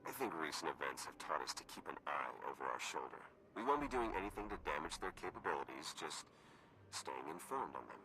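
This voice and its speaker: A man's voice: extremely low pitch, sing-song, brisk, background noise, full character voice. An actor playing a wry male spy and tech agent who talks in a dry, pragmatic way. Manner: dry, pragmatic, matter-of-fact.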